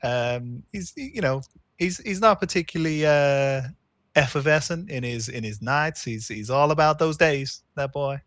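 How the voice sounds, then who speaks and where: A man's voice: fairly high pitch, a little slow, fairly bright tone, no echo, clean studio sound. Man hamming a put-on Southern drawl. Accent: put-on American Southern accent. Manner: playful, drawling, trails off with fillers. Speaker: storyteller running a social deduction game. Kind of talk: live-streamed game narration and banter.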